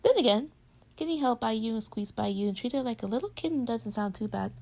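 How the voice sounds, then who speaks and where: Woman acting a creaky-voiced, playful clingy girlfriend. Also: on the high side, animated, fairly quick, mellow. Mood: playful, curious. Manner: teasing, rambling, swinging from murmurs to exclamations. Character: playful clingy young girlfriend, teasing and affectionate. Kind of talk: intimate girlfriend roleplay audio, pillow talk.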